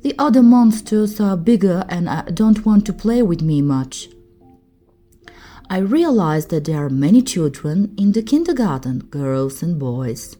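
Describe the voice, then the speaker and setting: A woman's voice: on the low side, expressive, unhurried, warm tone, soft background music. A woman voicing a friendly, shy fluffy monster in a children's story, cheerful and eager.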